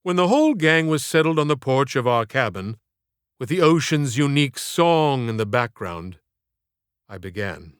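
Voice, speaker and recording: A man reading literary scripted narration as an audiobook author, in a measured, theatrical way. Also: mid-range voice, sing-song, clear, resonant tone, dry recording, studio quality.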